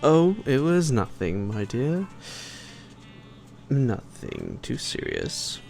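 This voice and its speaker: A man playing a suave, psychotic gentleman vampire in an audio roleplay, pompous, taunting and predatory, with drawn-out and sometimes whispered delivery. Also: huge pitch swings, faint music behind, full character voice. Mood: feigned politeness. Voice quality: very deep, gravelly. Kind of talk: theatrical roleplay monologue to the listener. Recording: noisy amateur home recording.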